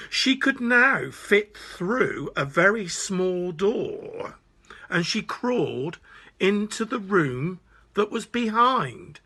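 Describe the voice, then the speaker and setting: Slow, animated male storyteller. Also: sits high, husky. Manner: expressive, deliberate delivery. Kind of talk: children's bedtime story narration.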